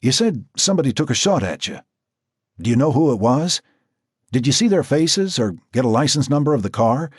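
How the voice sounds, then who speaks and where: A man doing a calm, steady protector. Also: mid-range voice, husky, no room sound, studio quality, voice pushed up. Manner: quiet, measured questioning.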